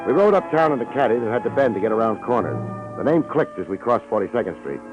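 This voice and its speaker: An actor playing a tough, world-weary private detective in a vintage radio drama, narrating and wisecracking in deadpan hard-boiled slang. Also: male voice, medium pitch, quick pace, lightly acted. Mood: wry storytelling. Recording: muffled old radio recording, music behind.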